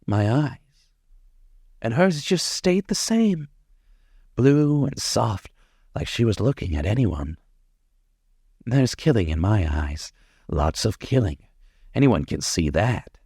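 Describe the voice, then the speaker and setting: An actor playing a brooding, menacing male killer in old-time radio suspense style, intense, halting and obsessive. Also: medium pitch, a little mellow, slightly rough, dry recording, clean studio sound, exaggerated voice.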